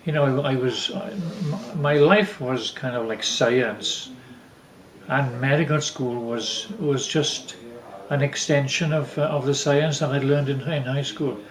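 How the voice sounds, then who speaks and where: Older male, mid-pitched, unhurried family raconteur with halting, rambling delivery; echoey room sound. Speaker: father telling family stories. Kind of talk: casual reminiscing.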